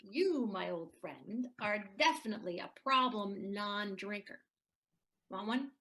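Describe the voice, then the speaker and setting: A woman's voice: mid-range voice, lively intonation, unhurried, pushed and bright. A woman playing a bitter, hard-drinking ex-wife in an audio play, taunting and sardonic. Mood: mocking.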